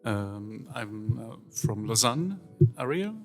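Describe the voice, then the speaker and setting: A Swiss man in his forties, a visiting design teacher, answers interview questions casually with many pauses and fillers. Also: lowish voice, very animated, soft background music. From Switzerland. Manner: hesitant, many fillers, non-native phrasing. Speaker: guest workshop teacher.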